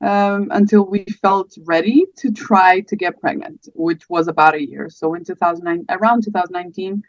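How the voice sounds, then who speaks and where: A woman in her thirties from Portugal with a Portuguese accent, telling her personal story as a podcast guest in a soft, hesitant way with many fillers. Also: fairly low pitch, moderate pace, hardly any pauses, dry recording, dull audio. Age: 30s.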